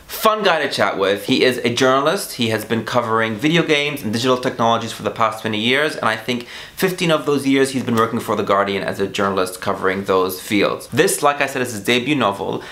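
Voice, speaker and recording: A man's voice: medium pitch, lively intonation, fairly quick, few pauses, a bit bright, reverberant. A man who speaks Arabic as a first language, chatting casually to camera about books he bought, enthusiastic and a little hesitant with small hedges. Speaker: book vlogger. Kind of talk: casual book haul chat to camera.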